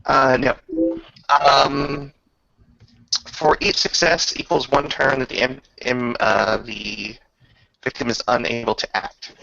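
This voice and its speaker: A man speaking as game master in a casual tabletop roleplaying session, halting and muttering through rules and dice. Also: medium pitch, steady tone, gravelly, sharp tone, no room sound. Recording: dull lo-fi recording.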